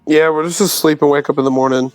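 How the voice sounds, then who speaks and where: A man's voice: fairly high pitch, fairly even intonation, fast talker, clean tone, no room sound, soft background music. A man chatting casually as a player in a tabletop roleplaying game.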